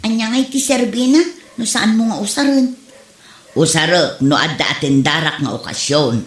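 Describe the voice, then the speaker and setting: A woman's voice: low voice, moderate pace, roomy sound, close to an everyday voice. A woman acting a nagging, complaining wife who is short of money in an Ilocano comedy drama. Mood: irritated, complaining.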